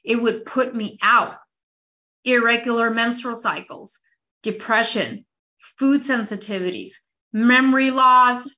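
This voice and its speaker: A woman's voice: on the high side, sharp tone. A young woman hosting a podcast, talking casually and personally, with frequent "like" fillers. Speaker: podcast host. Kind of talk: casual solo podcast talk. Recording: slightly dull lo-fi audio.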